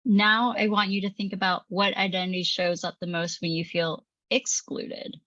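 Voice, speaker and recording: Calm woman, muffled dry narration. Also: medium pitch, moderate pace, no echo. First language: English. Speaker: presenter. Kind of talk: scripted training narration.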